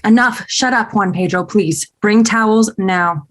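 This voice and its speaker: A woman reading a congresswoman mother in her fifties at a screenplay table read, giving sharp, clipped orders. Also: medium pitch, a little slow, lightly acted. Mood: commanding. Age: 50s.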